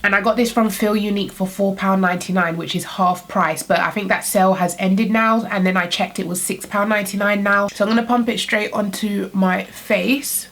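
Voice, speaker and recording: A woman's voice: mid-range voice, a bit bright. A young woman with a blocked-up nose from a cold, chatting upbeat to viewers while testing makeup brushes. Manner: chatty, upbeat, addresses viewers as girlies and guys. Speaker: beauty vlogger. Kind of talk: casual makeup tutorial chat.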